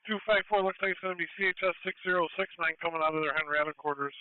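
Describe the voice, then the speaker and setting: A man dispatching fire and EMS units in a brisk procedural way over a narrow, tinny two-way radio. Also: on the high side, smooth, no room sound.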